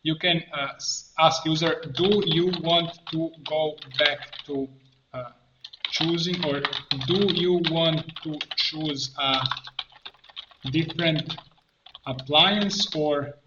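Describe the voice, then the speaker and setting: A man presenting an informal screen-share tutorial, thinking aloud step by step in halting phrases. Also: a little high, fairly even intonation. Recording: roomy echo, muffled band, background noise. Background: keyboard typing and mouse clicks.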